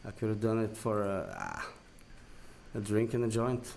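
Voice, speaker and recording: A man's voice: fairly low pitch, huge pitch swings, moderate pace, vocal fry, a little mellow. A man from Norway, a podcast guest chatting casually in a laid-back, wry way in an apartment. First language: Norwegian. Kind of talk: casual chat. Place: apartment set up for recording. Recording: noticeable background noise.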